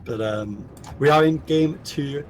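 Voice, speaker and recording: A man's voice: fairly high pitch, unhurried, a little hiss or hum. A man casting a live esports stream, enthusiastically thanking donors while his voice is tiring. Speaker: esports caster.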